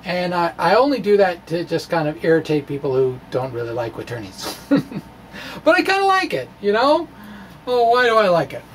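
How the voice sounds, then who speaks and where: Quirky, halting man over room noise. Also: high-pitched, very animated. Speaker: physics video blogger. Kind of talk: informal explanatory monologue, dramatic reading of own blog. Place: small room with bookshelves. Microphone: handheld-style microphone on stand in front of him. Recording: clear background room noise.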